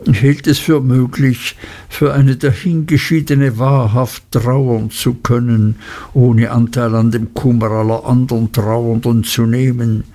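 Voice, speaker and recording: A man's voice: mid-range voice, flat delivery, measured pace, warm tone, close to an everyday voice. A man voicing a despairing, guilt-ridden older male lawyer who talks in an anguished, self-reproaching way.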